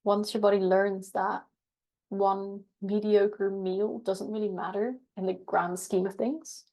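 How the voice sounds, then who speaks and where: A woman coach with a cold and a hoarse edge, speaking in an even, little-varied tone. She gives reflective, steady advice, pausing on fillers. Her voice rings in a roomy space, picked up from a distance. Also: mid-range voice. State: has a cold, voice going hoarse. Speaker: eating disorder recovery coach. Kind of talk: live group coaching Q&A.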